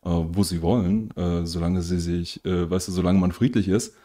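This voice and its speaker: Relaxed blunt host, deep mellow tone, boom mic in a living room. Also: male voice, moderate pace. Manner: direct, loose and blunt, swears casually. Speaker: podcast host. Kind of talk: casual interview chat. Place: bright living room with large windows. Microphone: studio mic on boom arm, headphones.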